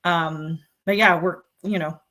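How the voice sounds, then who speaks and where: A woman's voice: lowish voice, fairly even intonation, a little slow, sharp tone. A woman in her thirties, born in the Philippines, chatting as an interview guest with many ums and you-knows. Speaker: journalist and guest. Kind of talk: casual interview talk.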